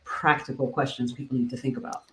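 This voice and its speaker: A podcast co-host and real estate agent talking through practical questions about where to settle, in a thoughtful, conversational way. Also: female voice, very deep voice, fairly even intonation, fast talker, echoey room, poor audio. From moved to Boston.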